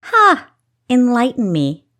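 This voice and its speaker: A woman's voice: fairly high pitch, huge pitch swings, slow, bright, forceful tone, pitched above the performer's own voice, exaggerated voice. A woman voicing a defiant, witty female thief in an audiobook, scoffing and playfully sarcastic. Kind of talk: audiobook character acting.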